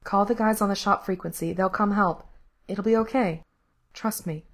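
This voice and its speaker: A woman voicing a caring, guilt-ridden young male rebel with a gentle, earnest manner in a story. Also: mid-range voice, fairly even intonation, normal speed, close to an everyday voice. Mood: gentle, reassuring. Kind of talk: acted audiobook dialogue.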